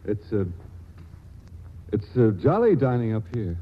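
A man's voice: fairly low pitch, sing-song, unhurried. An actor playing an earnest, shy male chemist in love, talking hesitantly and stammering in 1940s radio drama style. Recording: muffled old radio broadcast with faint hiss.

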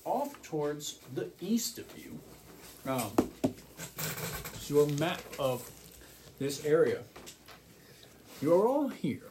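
A man's voice: on the high side, big pitch swings, slow pace, pauses often, background noise. A man acting as game master, narrating a tabletop game with descriptive scene-setting.

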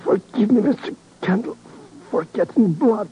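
An actor playing a polite, gravely wounded man, gasping and weakened, in 1950s radio drama style. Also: high-pitched, expressive, moderate pace, warm tone, dull audio. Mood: dying, apologetic. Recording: faintly noisy old radio recording.

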